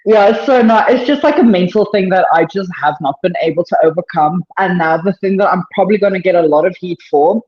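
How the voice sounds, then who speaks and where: A woman with a South African accent and a naturally deeper voice, talking candidly and self-deprecatingly as a podcast guest, rushing through thoughts with many fillers. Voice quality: deeper than usual voice. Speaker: powerlifter guest. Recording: echoey room, dull lo-fi sound.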